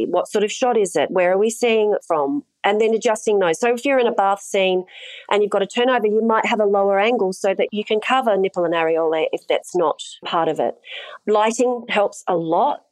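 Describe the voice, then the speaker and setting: A woman's voice: mid-range voice, normal speed, poor audio. A middle-aged woman answering interview questions as an intimacy coordinator, explaining things thoughtfully with frequent fillers.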